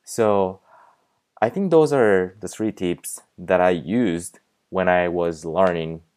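Low-voiced man with a Japanese accent. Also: darkish tone, clear, resonant tone. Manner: halting, searches for words with frequent "like" and "um". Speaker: podcast host. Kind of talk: casual solo podcast tips.

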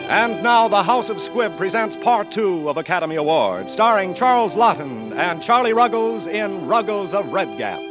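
A man's voice: high voice, big pitch swings, normal speed, few pauses, over loud music. A man working as a 1940s radio announcer, reading scripted copy in a polished, emphatic style. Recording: muffled vintage 1940s radio broadcast.

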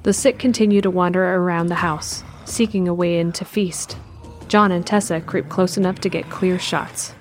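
A woman reading scripted narration for an audio drama in an even, descriptive way. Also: lowish voice, moderate pace, soft background music.

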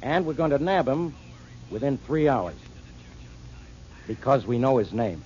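A gruff police inspector. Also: male voice, medium pitch, very animated, unhurried, a little mellow, slight background noise. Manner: gruff, blustery. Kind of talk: 1940s radio acting. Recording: dull 1940s radio recording.

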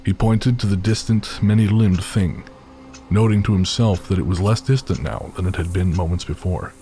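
Deep, dark-voiced male horror narrator. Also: moderate pace. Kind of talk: scripted literary horror narration. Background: faint music.

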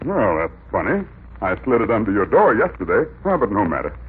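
A man's voice: lowish voice, sing-song, a little fast. A man playing a flowery, persistent salesman in radio comedy, delivering theatrical sales patter. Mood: polite pitching. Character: flowery, pleading male magazine salesman, gushingly persistent. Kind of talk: 1950s radio comedy acting. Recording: narrow-band old radio recording.

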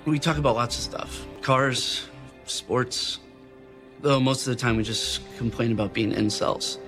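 A man's voice: mid-range voice, unhurried, fairly bright tone. Man over music in a podcast promo.

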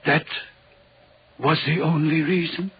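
An actor playing a lonely, brooding railway signalman in a 1940s radio horror drama, speaking in a grave, halting, guarded way. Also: a little high, fairly even intonation. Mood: uneasy, intense. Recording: muffled, noisy 1940s radio recording.

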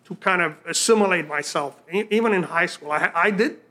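Older guy from romania, high bright voice, rambly chat with lots of ums and restarts. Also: fairly quick. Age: 60s. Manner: rambling, many fillers and restarts. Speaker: guest. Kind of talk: casual life-story interview.

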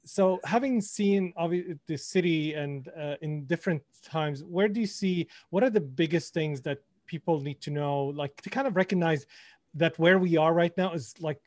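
A man hosting a podcast interview, asking halting questions with enthusiasm. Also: fairly high pitch, a little mellow, no room sound, clean studio sound. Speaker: podcast host.

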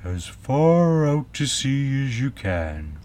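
A man's voice: mid-range voice, big pitch swings, slow pace, a little mellow, pitched above the performer's own voice. A man voicing a sad, tearful, earnest sea turtle in a storybook. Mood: animated, instructing. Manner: mournful, solemn, earnest. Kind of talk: storybook character voice.

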